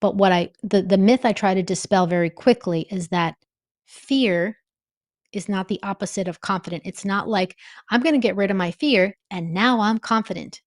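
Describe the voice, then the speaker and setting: A woman's voice: medium pitch, moderate pace, dry recording. Earnest teacherly woman, dry sound. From born in Costa Rica, raised in New Jersey. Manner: earnest, emphatic teacherly explaining. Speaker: guest, professor teaching on-camera confidence. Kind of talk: interview answers.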